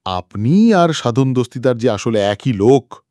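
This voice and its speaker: A confident male detective played by a man reading a story, methodical and pressing. The pitch swings widely as he drives each point home, and the recording is close and dry. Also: animated, unhurried, warm tone, no echo, close to an everyday voice. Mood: accusing. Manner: methodical, emphatic, accusing. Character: confident male private detective laying out a murder case. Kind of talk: dramatic audiobook character reading.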